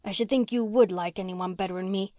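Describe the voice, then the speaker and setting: A woman reading an audiobook gives voice to a scrappy, slangy orphan girl who talks bluntly and flares up quickly. Her rough country speech comes across dry and close. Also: medium pitch, fairly even intonation, dark tone, no echo, natural voice. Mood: remorseful.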